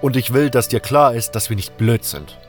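A distrustful guard at a camp gate in a fantasy audio play , voiced by a male narrator. He speaks bluntly and sternly in a medium-pitched voice, always challenging. Music plays faintly underneath. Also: moderate pace, close to an everyday voice. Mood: stern, warning.

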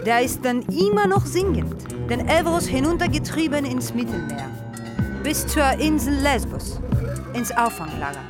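A woman's voice: sits high, sing-song, normal speed, over loud music. A woman playing a radio co-presenter who tells myths of the borderlands in scripted narration. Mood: narrating. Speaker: radio co-host.

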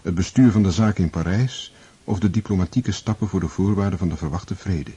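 A man narrating a historical radio play in a sober, measured, literary manner with a dark, husky voice. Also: sits low, muffled recording. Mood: sober, reflective.

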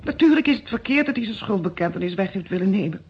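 A woman's voice: mid-range voice, animated, fast, darkish tone, husky. A woman acting a worried, earnest wife in a radio detective play, speaking politely and anxiously. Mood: pleading. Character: worried, pleading wife of an accused man. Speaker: actress in a radio detective serial. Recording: dull, muffled old radio recording.

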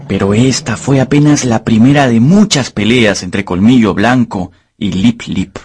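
A man narrating a dramatized radio novel as an expressive, deliberate storyteller. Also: mid-range voice, husky.

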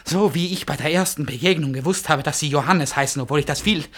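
An actor in a German radio drama playing an agitated, feverish, accusing man, husky and theatrical, swinging between whispers and shouts. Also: a little high, fast talker. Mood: scornful, accusing.

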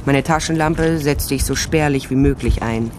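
A woman's voice: a bass voice, moderate pace, noisy background. An actress playing a tough, sly private investigator, narrating her case tersely and dryly in a crime radio drama. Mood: tense, terse.

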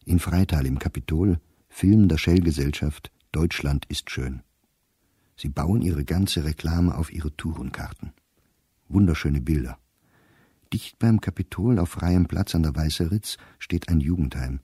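An actor playing an observant middle-aged diarist, reading literary diary entries for a radio play in a measured, precise, dryly wry way with clipped phrasing. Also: male voice, very deep voice, mellow, husky, dry recording, studio quality, heavily acted. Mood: matter-of-fact.

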